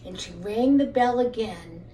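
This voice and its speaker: A woman teacher telling a puppet story to young children in simple, deliberate phrases with pauses. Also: medium pitch, lively intonation, warm tone. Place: room at home. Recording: roomy, distant mic, faint noise.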